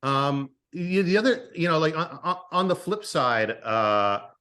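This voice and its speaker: A man chatting casually as a writer guest in an interview, halting and thoughtful. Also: fairly high pitch, expressive, sharp tone.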